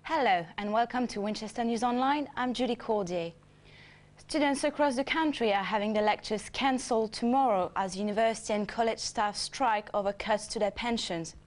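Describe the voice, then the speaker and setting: A woman's voice: a little high, normal speed. A woman presenting a scripted news bulletin.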